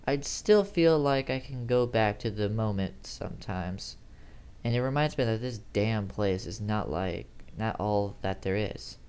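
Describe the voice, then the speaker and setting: A man's voice: lowish voice, lively intonation, measured pace, a little mellow, clear, resonant tone. A man reading a first-person horror story aloud in a dramatic, theatrical way, sometimes stumbling over words. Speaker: amateur horror story reader.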